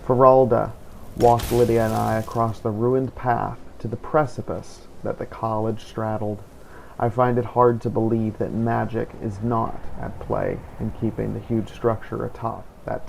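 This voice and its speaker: A man's voice: on the low side, vocal fry, darkish tone, slight background noise, rough recording. A man narrating his fantasy game adventure in character, like a scripted journal. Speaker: gaming channel host. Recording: faint background ambience.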